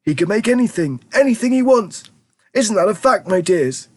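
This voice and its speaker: A man voicing an eager, excitable grandfather in his nineties, exclaiming with theatrical emphasis. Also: sits high, normal speed, husky, pitched above the performer's own voice. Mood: excited. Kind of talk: audiobook character reading.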